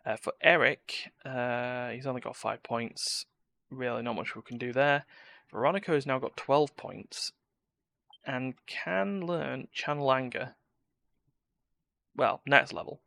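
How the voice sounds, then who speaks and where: A man's voice: mid-range voice, a little slow, vocal fry, pushed and bright. A man giving live gameplay commentary, talking to himself and reacting as he plays. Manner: muttering to himself and reacting to play. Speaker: game streamer. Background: game music.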